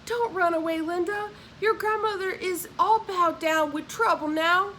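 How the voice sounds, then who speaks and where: A woman narrator acts out a faithful, worried servant woman from a storybook of the old South. Her Southern dialect is high and urgent, pleading as she warns. A faint noise sits in the home recording. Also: a little slow. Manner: urgent, pleading, hushed warning. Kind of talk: audiobook character voice.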